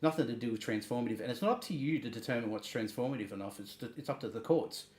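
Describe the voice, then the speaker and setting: A man hosting a livestream, reading messages and commenting in a halting, emphatic way, repeating words. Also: medium pitch, lively intonation, a little mellow. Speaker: livestream host. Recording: roomy, echoing sound.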